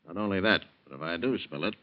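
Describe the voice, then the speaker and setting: Actor as cocky sneering American crook boss, vintage radio. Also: male voice, low voice, quick pace, natural voice. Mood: smug, threatening. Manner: slangy, taunting, tough-guy talk. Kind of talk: old-time radio crime drama acting. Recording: dull, lo-fi old radio recording.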